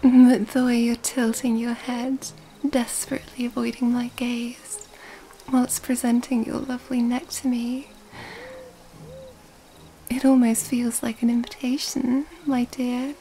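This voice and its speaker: Slow, whispering seductive vampire lady played by a woman. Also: fairly high pitch, level tone, dark tone, a little hiss or hum. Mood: teasing, seductive. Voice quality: breathy, largely whispered. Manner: slow, teasing, formal old-fashioned wording. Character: seductive, elegant female vampire, mysterious and predatory. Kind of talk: ASMR roleplay, intimate whispered acting.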